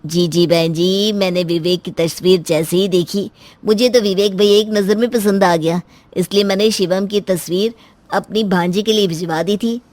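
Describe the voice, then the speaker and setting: A woman's voice: mid-range voice, steady tone, normal speed, a little mellow, dry recording, close to an everyday voice. Agreeable middle-aged parent for a moral tale, acted by a woman, polite, mid-range, dry sound. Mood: polite, pleased. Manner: courteous, agreeable. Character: polite middle-aged relative arranging her daughter's marriage.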